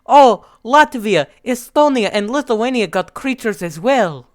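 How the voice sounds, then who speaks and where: A man's voice: extremely high pitch, animated, measured pace, pitched above the performer's own voice. A man voicing a sweetly cheerful, childlike and slightly menacing Russian man in a comic story, with a Russian accent.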